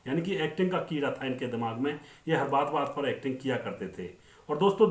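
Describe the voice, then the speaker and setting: A man narrating film-star life stories in an informal, conversational way, addressing viewers directly and restating points for emphasis. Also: a little high. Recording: roomy, echoing voice-over.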